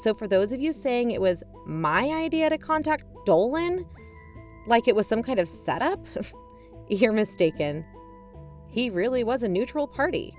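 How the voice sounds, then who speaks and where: An actress playing a suspicious, self-justifying investigator who hosts a podcast, narrating in an emphatic, confiding, conversational way. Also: female voice, fairly high pitch, sing-song, unhurried, soft background music. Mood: defensive, insistent. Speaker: podcast host.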